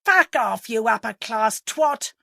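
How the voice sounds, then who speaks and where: A woman voicing a blunt, irate middle-aged husband in an audiobook, hissing and shouting. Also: fairly high pitch, lively intonation, takes it slowly, gravelly, no room sound, studio quality, pitched above the performer's own voice, full character voice. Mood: enraged. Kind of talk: audiobook character acting.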